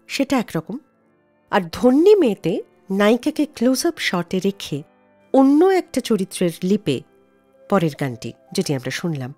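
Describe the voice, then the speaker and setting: Woman radio presenter, very lively intonation, measured storytelling delivery, faint music underneath. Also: medium pitch. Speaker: radio show host.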